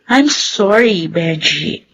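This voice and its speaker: A woman's voice: fairly low pitch, animated, slow pace, a bit bright, husky, lo-fi sound, exaggerated voice. An actress playing a stubborn, scheming young woman in a Filipino radio drama. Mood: defensive, pleading.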